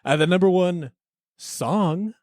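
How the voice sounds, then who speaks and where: A man's voice: high voice, slow pace, a little mellow, no room sound, clean studio sound. A man hosting a podcast, moving between casual banter and playful, mock-serious scripted presenting. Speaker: podcast host.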